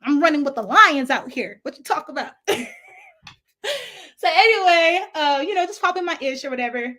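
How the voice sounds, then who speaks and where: A woman's voice: high voice, moderate pace. A young woman with a faint Nigerian accent telling a chatty, rambling storytime vlog full of asides and swearing. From San Francisco Bay Area, US. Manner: chatty, animated, digressive, profane. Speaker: vlogger.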